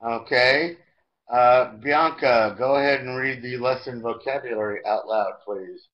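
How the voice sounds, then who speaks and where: A man's voice: medium pitch, a little slow. American guy teaching an online class, instructional, repeats stuff, muffled lo-fi sound. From United States. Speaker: English teacher. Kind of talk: leading an online language class. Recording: dull, lo-fi online call audio.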